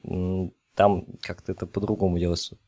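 A man's voice: low voice, level tone, normal speed, warm tone, no room sound, muffled recording. A man explaining things in an informal technical discussion, feeling his way through and checking that listeners follow. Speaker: podcast guest explaining telecom technology.

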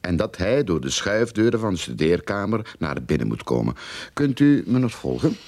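An actor playing a cocky, sardonic private detective in a radio drama, with a Flemish accent and a probing, theatrical delivery. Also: male voice, low-pitched, sing-song, normal speed, a little mellow, full character voice. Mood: probing, insistent. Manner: probing, theatrical, self-assured explaining. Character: cocky, sardonic male private detective who theorizes boldly.

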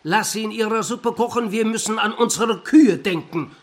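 An actor playing a practical, gruff peasant father who scolds and mocks in a radio drama. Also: high-pitched, normal speed. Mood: scornful, scolding.

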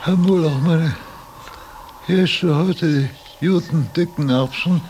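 A man's voice: a little high, takes it slowly, a little mellow, slight background noise. A man playing a frail, confused but imperious old philosopher in a radio drama, pedantic as if dictating. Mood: querulous, imperious. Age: older.

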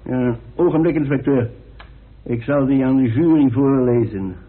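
A man playing a formal, dry-witted coroner in a radio drama, speaking in a measured, authoritative way. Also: mid-range voice, close to an everyday voice. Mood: formal, deliberate. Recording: tinny band-limited old radio recording.

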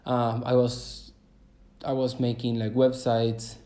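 A man's voice: mid-range voice, completely flat delivery, slow pace, dark tone. A man in his twenties from Argentina, speaking English as he hosts a casual solo podcast. He rambles hesitantly, with lots of fillers and restarts. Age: 20s. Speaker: podcast host.

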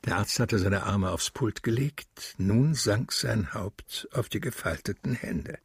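A man narrating a literary radio drama in a grave, deliberate manner. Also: on the low side, breathy edge, no echo, clean studio sound.

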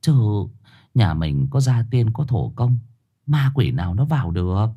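A fretful older village woman, as a male storyteller puts her on, scolding and fussing over her family. The voice is husky and moves widely in pitch, with anxious repeated words, suited to a storybook ghost tale. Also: sing-song, unhurried, dark tone, muffled recording, heavily acted. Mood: soothing. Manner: stammering repetitions when anxious. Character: older mother-in-law, fretful, scolding yet soothing countrywoman.

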